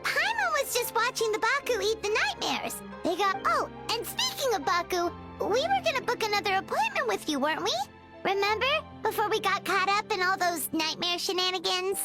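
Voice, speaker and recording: Very high, swooping, chirpy little fairy girl for an anime game, childlike and excitable, loud music behind. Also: brisk, heavily acted. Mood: chirpy, curious. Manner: speaks of self in third person, exclaiming. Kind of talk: anime video game voice acting.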